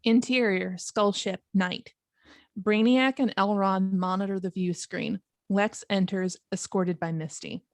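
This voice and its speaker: Unhurried woman reading stage directions. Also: medium pitch, steady tone, dry recording, studio quality. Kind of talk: scripted narration at an amateur table read.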